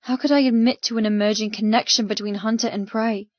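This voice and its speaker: A woman's voice: medium pitch, steady tone, fast talker, no room sound, muffled recording. Dramatic woman audiobook narrator, dry sound. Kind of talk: scripted first-person fiction narration.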